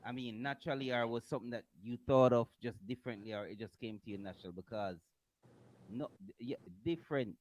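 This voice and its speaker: A man co-hosting a podcast, asking excited, slangy questions that trip over themselves. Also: mid-range voice, fast talker. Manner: excited, slangy, repeats himself. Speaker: podcast co-host. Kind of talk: casual interview chat.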